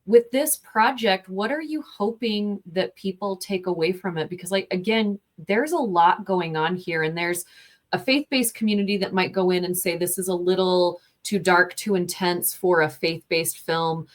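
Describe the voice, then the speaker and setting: A woman film reviewer hosting an interview, chatty and lively, talking in a casual way with lots of fillers. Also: lowish voice, normal speed.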